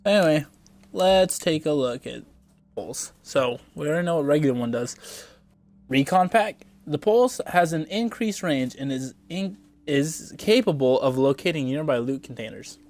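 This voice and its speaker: A man's voice: on the high side, expressive, normal speed, a little mellow. A young man with a congested, stuffy voice, casually commenting on a video game as he plays, rambling and thinking aloud with some swearing. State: congested from a cold. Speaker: gameplay streamer.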